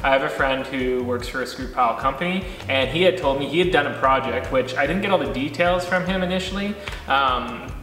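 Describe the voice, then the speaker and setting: A man in his thirties, co-hosting a do-it-yourself vlog, walks through each building step in a relaxed, practical way. His voice sits at an ordinary pitch and rings out in a roomy space with a long reverb tail behind it. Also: brisk. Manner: easygoing, explains building steps plainly. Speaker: co-host. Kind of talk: casual DIY vlog chat. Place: home studio, indoors, seated beside co-host.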